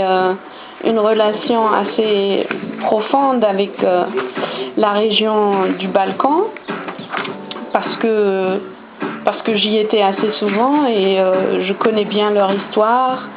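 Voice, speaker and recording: A woman's voice: mid-range voice, fairly even intonation, slow, lo-fi sound, background noise. Hesitant woman. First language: German. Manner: hesitant, many fillers and restarts. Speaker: vlogger. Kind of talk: casual storytelling to camera.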